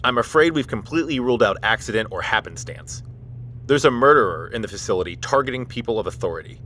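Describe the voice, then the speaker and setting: An actor playing a stern military police officer in an audio drama, formal and clipped in manner. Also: male voice, mid-range voice, expressive, fast, bright, forceful tone, slight background noise. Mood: grave, formal.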